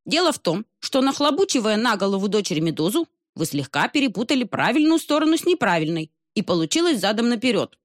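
A woman's voice: mid-range voice, big pitch swings, fast talker, hardly any pauses, no room sound, studio quality, pitched above the performer's own voice, close to an everyday voice. A woman voicing an old male albatross, a fussy, formal and deferential nanny.

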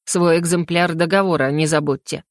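A woman voicing a smug, sarcastic male mage official in an audiobook, with a slow, mock-courteous, sneering delivery. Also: deep voice, fairly even intonation, dry recording, clean studio sound, pitched below the performer's own voice, close to an everyday voice. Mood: curt, smug. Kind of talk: audiobook character acting.

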